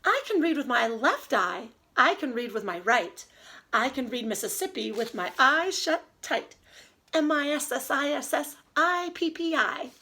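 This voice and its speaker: A woman teacher reading aloud and giving a lesson to young children in an expressive, child-directed way. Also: high-pitched. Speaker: early elementary teacher.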